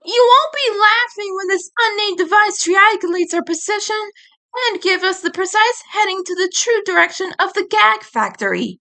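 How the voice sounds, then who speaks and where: A woman's voice: very high voice, expressive, moderate pace, pushed and bright, pitched above the performer's own voice, full character voice. A woman voicing a prim, bookish, earnest boy inventor from a cartoon, wordy and fussily precise. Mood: earnest, indignant.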